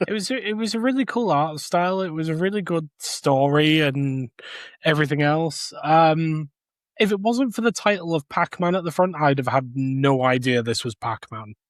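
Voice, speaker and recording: A man's voice: fairly high pitch, lively intonation, moderate pace, no room sound, studio quality. A man chatting casually as a co-host on a review podcast. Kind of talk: casual chat.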